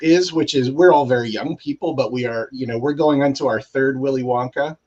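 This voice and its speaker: A man's voice: medium pitch, brisk, a little mellow, muffled recording. A middle-aged man chatting casually as a guest on a panel. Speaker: guest creator.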